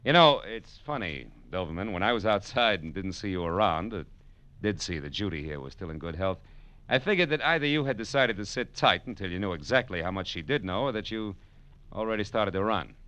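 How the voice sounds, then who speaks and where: A man's voice: low voice, animated, fairly quick, fairly bright tone. An American man playing a wry, tough private detective who narrates his case in 1940s radio drama style. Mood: wry, tense. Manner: wry, sardonic, slangy. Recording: dull old radio broadcast recording.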